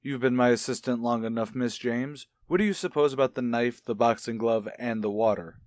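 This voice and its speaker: A man playing an inquisitive child psychologist, formal and deliberate, in a radio soap opera. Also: mid-range voice, a little mellow, dry recording, clean studio sound, lightly acted. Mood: measured, curious.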